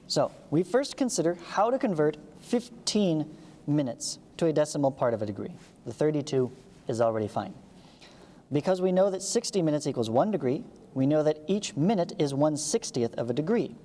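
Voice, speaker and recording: A woman's voice: sits low, animated, normal speed, mellow, big-room sound. A woman reading a lesson aloud as a teacher, explaining step by step with short asides. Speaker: math teacher, video host.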